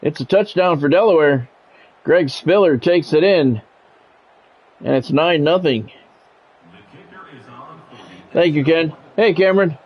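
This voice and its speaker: A man's voice: on the high side, slow, long pauses. An American man hosting a game livestream, chatting casually and bluntly between bits of play-by-play commentary. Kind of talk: casual live-stream chat with play-by-play commentary. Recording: dull lo-fi sound, music behind. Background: music.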